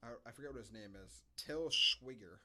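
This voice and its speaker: A man's voice: mid-range voice, expressive, a little fast, sharp tone. A man hosting a podcast, chatting casually about movies with sarcastic, exasperated asides. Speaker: podcast host. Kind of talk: casual movie review banter.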